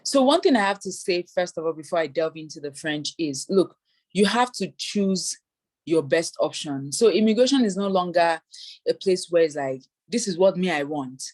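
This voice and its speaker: A woman's voice: lowish voice, expressive, moderate pace, a little mellow. A woman immigration consultant giving advice in an interview, explaining step by step and acting out what applicants say. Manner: explanatory, voices hypothetical applicants.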